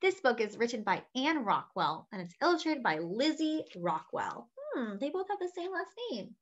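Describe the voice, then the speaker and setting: A young woman reading a picture book aloud to children with animated, expressive delivery. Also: on the high side, normal speed. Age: young adult. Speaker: children's story-time reader. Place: small room indoors at home. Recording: slightly dull webcam audio.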